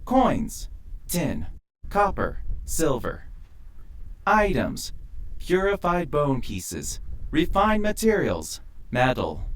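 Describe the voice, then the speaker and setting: Slow, formal game system voice played by a man. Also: fairly high pitch, huge pitch swings, exaggerated voice. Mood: formal, listing. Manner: formal, deliberate announcing of menus and stats. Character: impersonal male game system voice, formal, announcing. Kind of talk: audio drama game system announcements.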